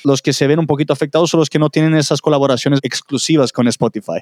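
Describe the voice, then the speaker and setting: A man's voice: fairly high pitch, fast talker, a little mellow, no echo, studio quality. A man giving conversational, explanatory commentary as a podcast co-host.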